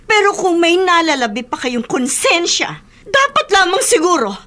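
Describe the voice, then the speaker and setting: An actress playing a middle-aged, exasperated aunt in a radio drama, emphatic and reproachful. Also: female voice, very high voice, big pitch swings, normal speed, a bit bright, exaggerated voice. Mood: scolding. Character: scolding, exasperated aunt with a sharp tongue.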